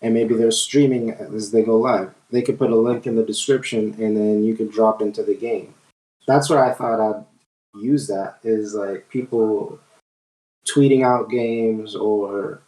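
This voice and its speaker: A man on a podcast panel chatting casually, thinking aloud in halting phrases. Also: fairly low pitch, level tone, mellow. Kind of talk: casual chat. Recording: roomy, echoing mic.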